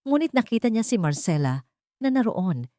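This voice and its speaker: A woman narrating a dramatic scripted audio story in deliberate, suspenseful phrases. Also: fairly high pitch, big pitch swings, warm tone, no echo, studio quality.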